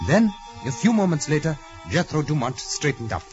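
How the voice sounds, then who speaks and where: Earnest respectful male sidekick, higher voice, old radio drama, muffled sound. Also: very animated, normal speed, music in the background. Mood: solemn narrating. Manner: formal, respectful. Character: deferential, earnest male assistant and narrator, devoted follower. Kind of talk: 1940s radio drama acting and narration.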